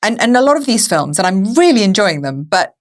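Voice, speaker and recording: A woman's voice: mid-range voice, very animated, fairly quick. A woman hosting a casual podcast discussion about films, conversational and restarting phrases as she goes. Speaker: podcast host.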